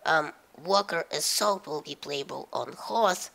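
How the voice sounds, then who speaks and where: A gaming YouTuber woman with a very low voice and a sharp, forceful edge to it. She sounds stuffed up from a cold. She talks through the news in a halting way, full of fillers. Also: vocal fry. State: nasal from a head cold.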